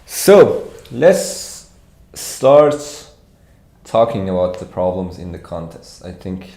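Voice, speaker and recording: Slow Persian-accented man in an echoey room. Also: low voice, warm tone. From Iran. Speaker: competitive programming instructor. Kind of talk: informal livestreamed problem walkthrough.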